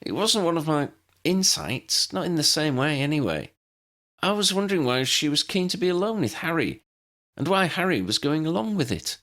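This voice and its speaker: A man's voice: on the high side, very animated, normal speed, fairly bright tone, pitched above the performer's own voice. A man voicing an earnest, modest, perceptive young female sleuth in an audiobook, explaining plainly.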